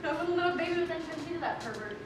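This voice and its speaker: A woman's voice: very high voice, lively intonation, very fast, far from the mic, noisy background. A woman acting a blunt, caustic, dismissive wife in a stage comedy, recorded in an echoey room. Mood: mocking, callous.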